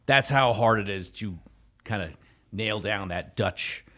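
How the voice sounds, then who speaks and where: A man's voice: on the low side, measured pace, bright, forceful tone. A man co-hosting a comedy podcast who drops in dry side comments and short stories. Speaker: podcast co-host.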